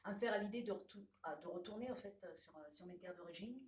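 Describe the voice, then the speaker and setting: A woman's voice: on the low side, quick pace, a little mellow, reverberant, far from the mic. A woman from Laos, a painter, speaking hesitantly in a radio interview. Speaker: painter and photographer.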